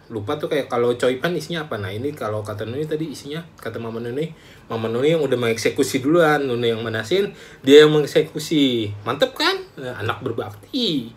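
A young man, chatting casually to camera in a small room as a food vlogger, animated and talkative. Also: medium pitch, fairly quick. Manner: chatty, animated, calls himself by name. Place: small room indoors, plain wall.

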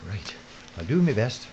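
A man's voice: fairly low pitch, huge pitch swings, slow pace, warm tone, full character voice. A man acting a grumbling, sarcastic, anxious film presenter in an audio drama. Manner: complaining, sarcastic. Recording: muffled recording with clear noise.

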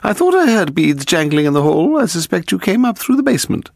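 A man's voice: sits high, sing-song, fairly quick, gravelly, dry recording, clean studio sound, pitched above the performer's own voice, full character voice. A man voicing a haughty, amused older aristocratic lady in an audiobook, with a theatrical, condescending manner. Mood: suspicious, arch.

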